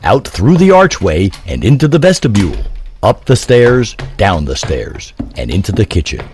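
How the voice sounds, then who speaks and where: A man's voice: on the low side, big pitch swings, moderate pace, darkish tone, soft background music. A man narrating a scripted comic story in a theatrical audio drama style.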